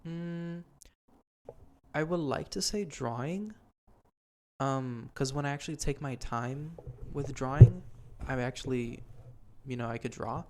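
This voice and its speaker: A man's voice: mid-range voice, a little slow, slight background noise. A young man hosting a podcast, talking in a casual, earnest way with plenty of ums and restarts. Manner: earnest, rambling with many ums. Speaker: podcast host. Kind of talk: casual chat.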